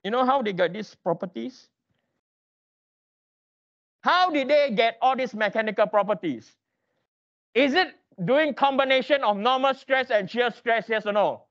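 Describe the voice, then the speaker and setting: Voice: medium pitch, a little slow, long pauses, fairly bright tone, lo-fi sound. An engineering lecturer teaching interactively in a classroom, quizzing students with emphatic, repeated questions and prodding them for answers.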